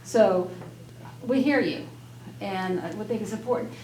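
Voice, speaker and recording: A soft-spoken woman on city council, addressing residents at a public meeting in an earnest, persuasive way that rambles a little. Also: mid-range voice, unhurried, a little hiss or hum. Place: public meeting room, indoors. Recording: echoey meeting room.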